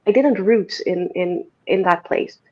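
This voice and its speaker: A woman's voice: medium pitch, measured pace, darkish tone. A woman with a Dutch accent talks casually as a guest, her thoughts rambling through fillers and restarts. The recording is a little dull and lo-fi. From Netherlands. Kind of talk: casual interview conversation.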